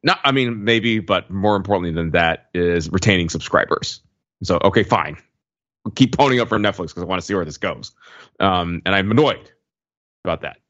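A man's voice: low-pitched, normal speed, a bit bright, dry recording, highs cut off. A man giving his view as a podcast panelist in a casual, hesitant, conversational way. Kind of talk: casual TV show discussion.

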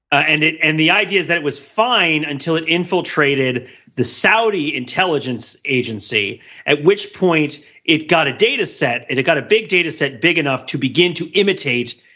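Male panelist, fairly high bright voice with some rasp, explanatory and conversational. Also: highs cut off. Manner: explanatory, animated. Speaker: podcast panelist. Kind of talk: casual film discussion.

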